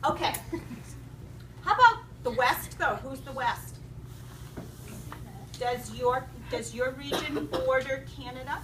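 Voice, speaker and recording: Lady teacher quizzing little kids, high voice, far from the mic, roomy classroom sound. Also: slow, long pauses. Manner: instructive, questioning, repeats students' answers back. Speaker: elementary school teacher. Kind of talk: interactive classroom read-aloud lesson. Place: indoor school classroom. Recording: roomy, distant, noisy classroom recording.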